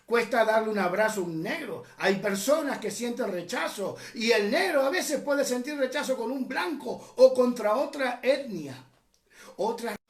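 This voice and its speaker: Male preacher, high animated delivery, echoey room, impassioned teaching sermon. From Uruguay. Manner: impassioned, rises and drops sharply, often says "hermanos".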